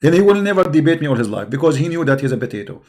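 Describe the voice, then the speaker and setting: A man with a fairly high voice and Arabic as his first language hosts a religious debate. He argues insistently and combatively, hammering his phrases again and again for emphasis. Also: very animated, rapid-fire, a little mellow. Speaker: debate show host. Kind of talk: argumentative religious debate with a caller.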